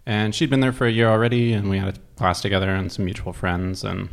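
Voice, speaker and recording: A man telling personal stories as a guest, casual and conversational, in a hesitant, halting way. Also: on the low side, vocal fry. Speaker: storytelling guest on an improv show.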